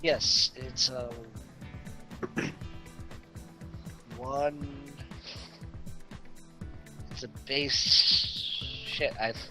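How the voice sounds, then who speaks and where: A man's voice: medium pitch, steady tone, extremely slow, pauses often, pushed and bright, soft background music. A man playing a tabletop game, speaking casually in a hesitant, flustered, self-deprecating way. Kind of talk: casual chat.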